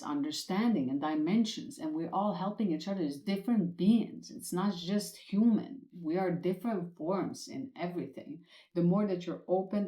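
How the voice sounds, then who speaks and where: A woman whose first language is Swedish hosts a spiritual podcast. Her voice sits low, and she speaks gently and reassuringly, coming back to her points again and again. The sound has a roomy echo. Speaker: podcast host.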